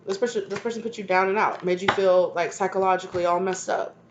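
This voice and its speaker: A woman's voice: mid-range voice, steady tone, fast talker, dull audio, a little hiss or hum. A woman with a stuffed-up voice from a cold, giving a channeled tarot reading to her viewers with affectionate asides and repeated "right?" tags. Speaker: tarot reader.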